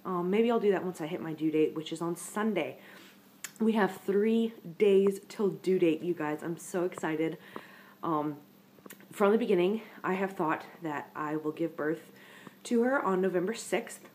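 A woman's voice: on the low side, a little slow, a little mellow, a little hiss or hum. A young woman with a congested head-cold voice, chatting to her webcam in a casual vlog update from her living room couch. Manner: chatty, rambling, talks straight to viewers. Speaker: vlogger. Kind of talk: casual pregnancy update to camera.

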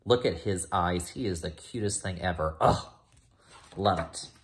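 A man's voice: deep voice, unhurried, slight background noise. An American man in his thirties, a teacher reading a picture book aloud with casual asides. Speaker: teacher reading to first graders. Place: small room at home. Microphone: phone or laptop at arm's length.

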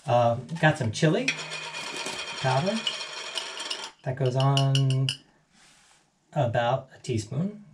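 A man's voice: medium pitch, animated, takes it slowly, pauses often. A man in his sixties hosting a casual home cooking vlog, chatting and correcting himself as he narrates each step in a small kitchen. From United States. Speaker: home cooking show host. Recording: noisy home kitchen with frying sizzle. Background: frying and sizzling.

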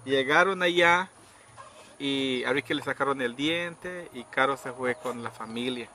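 A middle-aged man casually telling a story outdoors, acting out quoted people with sudden high pitched voices. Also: measured pace, sharp tone. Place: outdoors under trees in a rural yard. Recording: outdoor noise in background.

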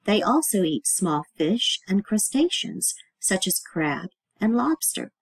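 A woman's voice: mid-range voice, breathy edge, dry recording, rough recording. A woman reading aloud and explaining to young children as a teacher, stressing key words and putting questions to the listener.

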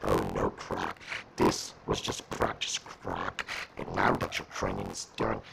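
Heavy noise surrounds a cavernously low, crackling growl. It is a boastful demon villain, grandiose and full of threat, swinging in pitch as he delivers his menace. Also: wildly swooping pitch, unhurried, vocal fry, full character voice. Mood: gloating, threatening. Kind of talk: amateur voice lines read from a script. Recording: loud noise and clicks, crude home recording.

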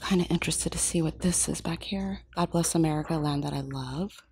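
A woman filming a shop-with-me vlog in a craft store aisle on a handheld phone, excited and gushing, often dropping to a whisper. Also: low-pitched, a little fast, slightly rough, dry recording. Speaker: shopping vlogger.

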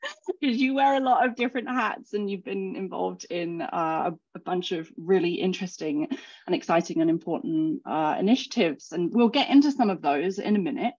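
A woman's voice: on the low side, normal speed, darkish tone, husky. A woman hosting an interview over a video call with a headset, moving from scripted introductions into easy conversation. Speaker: host. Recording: slightly dull video call audio.

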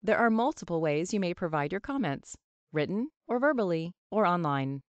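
A woman's voice: lowish voice, very animated, moderate pace, clean tone, dry recording, muffled recording. Woman reading a formal presentation.

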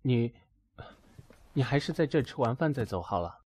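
A man's voice: mid-range voice, expressive, normal speed, mellow, breathy edge. An actor playing a guarded, coolly polite young man in an amateur audio drama. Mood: reluctant concern.

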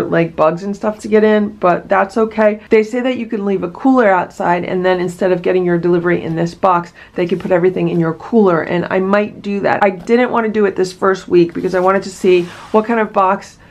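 A woman in casual vlog talk, chatty and enthusiastic as she unboxes a farm box at home. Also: mid-range voice. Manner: enthusiastic, chatty, many asides. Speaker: vlogger unboxing a produce box.

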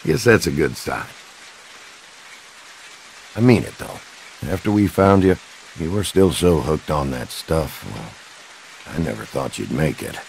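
An actor playing a kindly, awkward middle-aged man in a game drama, with a Southern American accent and a folksy, halting way of talking. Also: rumbling low, expressive, gravelly, a little mellow, a little hiss or hum, full character voice, poor audio. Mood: earnest, tender. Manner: folksy, halting, fumbling for words. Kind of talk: naturalistic dramatic game dialogue.

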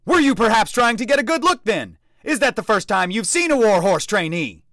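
A man's voice: medium pitch, animated, fast talker, dry recording, studio quality, close to an everyday voice. A man voicing a harsh adult male drill sergeant who barks and roars his orders. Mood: roaring. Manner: barking, roaring orders.

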